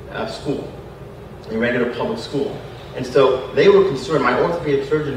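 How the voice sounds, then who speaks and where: Animated storyteller man in an echoey hall. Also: mid-range voice, a little hiss or hum. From Montreal, Canada. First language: Spanish and French. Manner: animated, self-deprecating storyteller with frequent restarts. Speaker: panel speaker. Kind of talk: personal story told to an audience. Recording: echoey hall, distant microphone.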